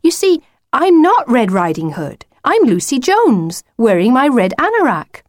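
A woman's voice: sits high, huge pitch swings, a little slow, no room sound, studio quality, voice pushed up, full character voice. A woman voicing a stubborn, unimpressed schoolgirl in a children's story, with a firm, matter-of-fact manner.

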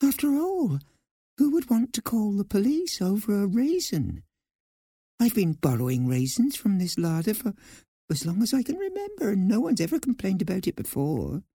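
A prim little mouse housewife, acted out by a male narrator, pitched extremely high. She is fretful and indignant, pleading nervously, with a swooping, storybook delivery. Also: sing-song, moderate pace, mellow, slightly rough, no room sound, studio quality, heavily acted. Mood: puzzled, indignant.

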